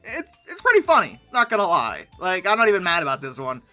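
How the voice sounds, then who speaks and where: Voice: medium pitch, wildly swooping pitch, quick pace, pushed and bright, soft background music. A person giving humorous reaction commentary in a sarcastic, exasperated manner. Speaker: host of a commentary video.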